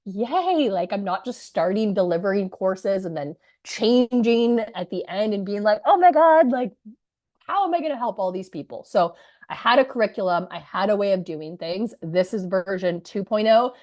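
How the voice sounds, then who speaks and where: A woman in her thirties, hoarse from a cold, telling an audience the story of her launch in a chatty, energetic way with many asides. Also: medium pitch, normal speed. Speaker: business coach presenting her launch story. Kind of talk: live presentation to an audience.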